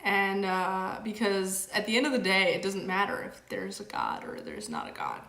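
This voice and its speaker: A woman's voice: mid-range voice, big pitch swings, moderate pace, gravelly, fairly bright tone, echoey room. A young woman hosting a casual livestream, reading chat aloud and rambling with lots of fillers. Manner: rambling, filler-heavy, frequent "like". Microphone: camera mic.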